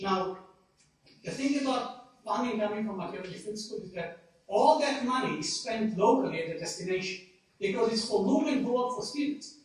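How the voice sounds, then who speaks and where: Israeli man, high voice, echoey hall. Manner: explains data with dry self-deprecating asides. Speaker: conference speaker. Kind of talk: conference presentation. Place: conference hall. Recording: echoey hall, speaker far from mic.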